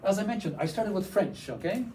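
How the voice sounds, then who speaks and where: A man's voice: sits high, expressive, fairly quick, warm tone, recorded from a distance, slight background noise. An older man from Canada giving a teacher workshop, enthusiastic and often checking in with "okay?". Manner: enthusiastic, tags lines with "okay?". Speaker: workshop presenter.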